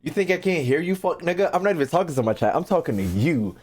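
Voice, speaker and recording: Male streamer, American, higher-than-average voice with very lively swings in pitch; brash, sweary, repetitive banter with chat. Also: fast. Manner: repeats phrases for emphasis. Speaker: streamer hosting a reaction video. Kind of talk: casual livestream chat to viewers.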